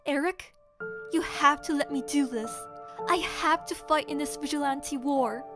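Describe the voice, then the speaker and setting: An earnest, headstrong young woman vigilante played by a voice actress in an audio crime drama. Her voice is distinctly high. Faint music sits behind her. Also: measured pace. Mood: pleading, defiant.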